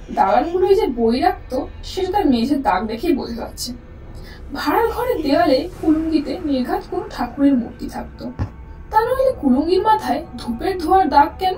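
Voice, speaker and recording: A woman's voice: very high-pitched, warm tone, roomy sound, far from the mic, rough recording. A woman narrating a scripted story expressively, as a storyteller.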